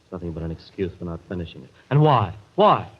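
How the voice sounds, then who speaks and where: A man's voice: deep voice, sing-song, fast, slightly rough, exaggerated voice. An actor playing a cocky, scornful young man, a painter's brash son, in a 1940s-style radio drama. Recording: dull vintage radio recording.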